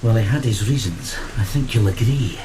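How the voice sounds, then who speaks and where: An actor playing a meek, earnest, anxious sailor who speaks hesitantly and deferentially in a gothic horror audio drama. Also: male voice, fairly low pitch, normal speed, close to an everyday voice. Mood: anxious, pleading. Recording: rain and thunder noise behind.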